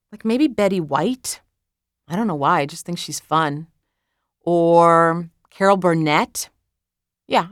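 A woman with a Croatian accent talks casually about her book, lively and quick to tease herself. Her voice is smooth and resonant at a middling pitch. It is recorded close up in a dry studio. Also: dry recording. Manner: lively, self-mocking, laughs at her own slips. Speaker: author.

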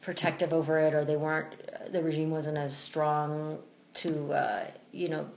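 A woman in her thirties hosting a casual chat, telling stories in a lively, chatty way. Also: low-pitched, normal speed, reverberant, slight background noise. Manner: lively, chatty storytelling with laughs.

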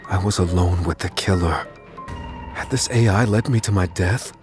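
An actor playing a man recounting a tense showdown with a killer, in measured, suspenseful first-person narration. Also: low-pitched, over music, lightly acted. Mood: tense, uneasy. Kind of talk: scripted dramatic audio fiction narration.

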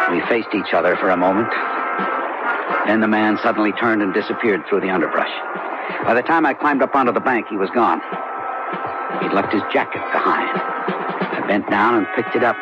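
Actor as a low-voiced suspicious small-town sheriff. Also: male voice, moderate pace, long pauses, natural voice. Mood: brooding. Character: suspicious, dogged small-town sheriff narrating his own story. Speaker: actor and first-person narrator. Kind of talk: late 1970s radio drama acting. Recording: dull vintage radio recording, loud music behind.

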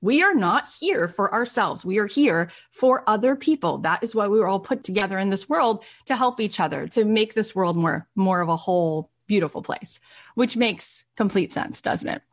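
A woman delivers a motivational workshop talk in a medium-pitched voice. She addresses her listeners directly, leans on key phrases by repeating them, and keeps checking in with rhetorical questions. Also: animated, moderate pace. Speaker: motivational speaker; podcast host.

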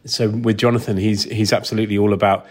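A man's voice: on the low side, flat delivery, fast talker, breathy edge. A man being interviewed about his work, talking casually and reflectively, thinking aloud and rephrasing as he goes. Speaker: film sound designer, interview guest.

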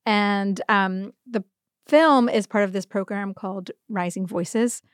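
A woman's voice: medium pitch, fairly even intonation, measured pace, clear, resonant tone, dry recording, studio quality. A young adult woman chatting casually and enthusiastically as a podcast co-host, often breaking into giggles.

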